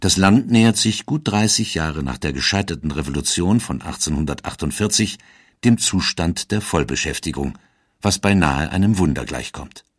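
A man narrating a scripted historical documentary in a measured storytelling manner. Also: deep voice, expressive, slightly rough, no echo, studio quality.

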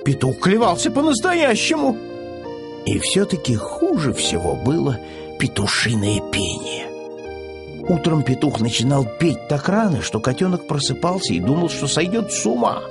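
A man narrating a children's audiobook in an expressive, scripted storytelling style. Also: fairly high pitch, normal speed, over music.

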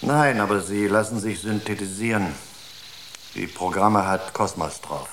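A man's voice: on the low side, a little slow, a bit bright. A man playing an earnest psychologist on a space crew in a naturalistic radio drama, explaining things in a searching way. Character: earnest male space-crew psychologist, absorbed in his experiment. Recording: noisy radio drama recording.

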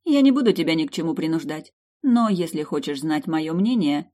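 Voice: mid-range voice, lively intonation, brisk, dark tone, close to an everyday voice. A narrator voicing a self-assured, teasing dragoness queen in a storybook, with a playful, commanding manner. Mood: coaxing.

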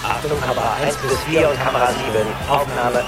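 An actor playing a bossy, impatient television director who barks brisk orders in a radio drama. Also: male voice, mid-range voice, loud music behind the voice, a loud crowd, close to an everyday voice. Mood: commanding. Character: bossy, impatient male TV director staging football scenes.

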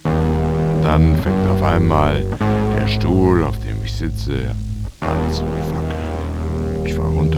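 An actor playing a worn-out, aimless drifter who tells his story in coarse, slangy, clipped sentences in a radio drama. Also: rumbling low. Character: worn-out, aimless male drifter telling his own misadventure. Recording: music behind the voice. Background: guitar music.

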